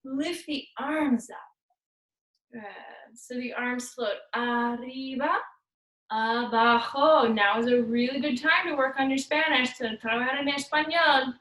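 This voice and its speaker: A woman's voice: sits high, measured pace, fairly bright tone. Brief: female fitness instructor, playful cueing, recorded far off in an echoey room. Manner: upbeat, playful cueing mixed with Spanish words. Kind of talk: guided workout cues.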